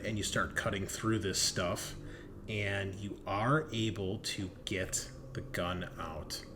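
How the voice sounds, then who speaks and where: A man with a lowish voice works as game master for a tabletop roleplaying session. He describes things conversationally and swears casually. There is faint noise behind him.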